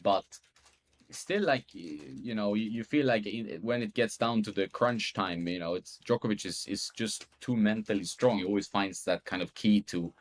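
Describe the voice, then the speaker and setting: A man's voice: lowish voice, normal speed. A man speaking English as a guest in a casual chat, easygoing and thoughtful. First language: Swedish.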